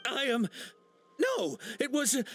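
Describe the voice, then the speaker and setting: Stammering captured smuggler. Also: male voice, extremely high pitch, huge pitch swings, unhurried, fairly bright tone, exaggerated voice. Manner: stammering, full of uh and nervous restarts. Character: nervous captured male smuggler, cowardly. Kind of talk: game cutscene voice acting.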